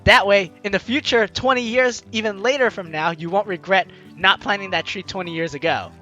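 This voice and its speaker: A woman's voice: mid-range voice, brisk, pushed and bright, dull audio, soft background music. A young woman hosting a let's play, reading game text aloud theatrically with playful, chatty asides. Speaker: gaming YouTuber, host.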